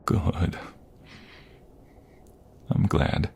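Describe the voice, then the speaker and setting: A man playing a protective, tender husband in an ASMR roleplay, speaking softly and intimately, often near a whisper. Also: drawn-out words, a little hiss or hum. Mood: gentle, sincere. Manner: soft, intimate, reassuring, often dropping to a whisper.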